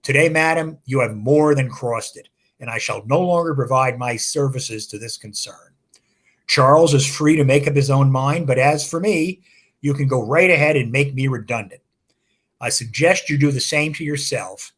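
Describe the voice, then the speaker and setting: A man's voice: medium pitch, moderate pace, a bit bright, close to an everyday voice. A man voicing a prim, offended imaginary librarian in his thirties who writes in a formal, coldly cutting way in a read-aloud story.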